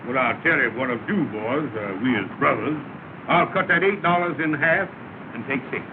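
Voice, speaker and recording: An actor playing a scheming lodge leader, persuasive and grandly wordy, in a 1930 comedy film. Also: male voice, medium pitch, lively intonation, normal speed, close to an everyday voice. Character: smooth-talking, scheming lodge leader, a small-time swindler. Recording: tinny, noisy 1930 film soundtrack.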